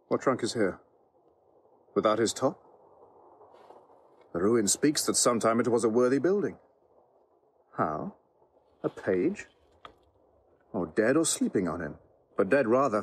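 An actor playing a noble Roman general, commanding yet fatherly, in a Shakespearean audio drama, with measured, authoritative verse delivery. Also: medium pitch, expressive, breathy edge. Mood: curious, grave.

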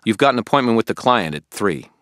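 A man's voice: lowish voice, very animated, fast talker, a bit bright, dry recording, studio quality, voice pushed up. A man voicing an earnest, worried young male friend in an audiobook, pushy, blunt and informal. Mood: insistent, concerned. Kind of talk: acted audiobook dialogue.

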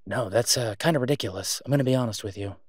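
Guy playing a worried loving boyfriend in an audio rp, earnest n halting, mid pitched male voice, no room sound. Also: no room sound, studio quality, close to an everyday voice. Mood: incredulous, uneasy. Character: worried, devoted human boyfriend of a werewolf. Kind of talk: intimate audio roleplay drama.

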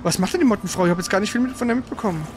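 Male let's player, casual and excitable, colloquial live game commentary over clearly audible game noise. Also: sits high, rapid-fire. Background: loud video game sound.

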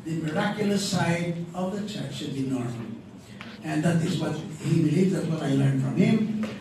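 A middle-aged man with a Filipino accent, a pastor introducing a guest speaker in a halting way, with many fillers and repeated words. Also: fairly high pitch, animated. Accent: Filipino English. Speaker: pastor and school leader. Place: large tiled classroom, indoors. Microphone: handheld mic, heard through a distant camera. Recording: echoey room from far off with background noise.

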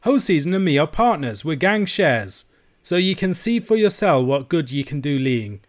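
Man acting a bold, sneering Scottish Highlander. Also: sing-song, moderate pace. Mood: taunting. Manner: taunting, forceful bargaining. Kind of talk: audiobook character voice.

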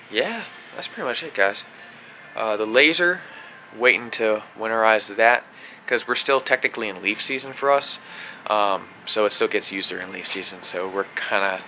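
A man's voice: fairly low pitch, slight background noise, low-quality recording. Young man vlogging from a workshop. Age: young adult. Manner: relaxed, talks while working, frequent uh and um. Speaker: vlogger doing equipment maintenance. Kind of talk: casual how-to vlog. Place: workshop garage, indoors. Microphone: action camera close up.

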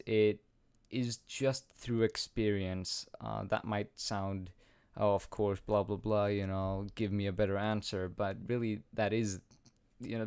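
Young man, slow rambling home Q&A. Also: on the low side, a little mellow, clean tone, no echo. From Iceland. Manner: rambling, self-deprecating, lots of ums and laughs. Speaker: channel host answering viewer questions. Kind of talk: casual Q&A chat. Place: small home room, indoors. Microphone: studio condenser mic with pop filter, wearing headphones.

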